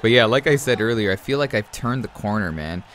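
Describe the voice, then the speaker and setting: A man's voice: lowish voice, animated, fairly quick, fairly bright tone, a little hiss or hum. A young man from Canada with a cold, chatting casually while breaking down his gameplay, often calling his listeners man and guys. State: has a cold. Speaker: gaming commentator.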